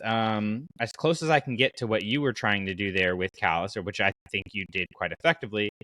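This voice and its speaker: A man hosting a casual fan podcast, talking in an animated, rambling way as he thinks aloud. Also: on the low side, dry recording, low-quality recording. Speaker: podcast host.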